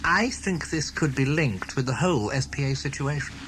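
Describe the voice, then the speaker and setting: A man playing a suspicious intelligence officer in an audio drama. He reports keenly and officially in a mid-pitched voice, with clear background noise. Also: expressive, moderate pace, natural voice. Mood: keen, businesslike. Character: keen, suspicious male intelligence officer briefing superiors.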